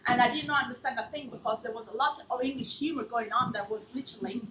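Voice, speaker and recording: A woman's voice: on the high side, quick pace, background noise. A woman in her thirties from Italy, speaking English with an Italian accent, giving an earnest storytelling talk as a language teacher, with small non-native slips. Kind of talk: storytelling talk to a live audience. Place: theatre stage. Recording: echoey hall, mic at a distance.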